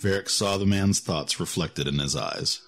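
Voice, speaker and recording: A man's voice: low-pitched, normal speed, creaky, a bit bright. A man narrating a scripted fantasy story as the narrator of an audio drama. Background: music.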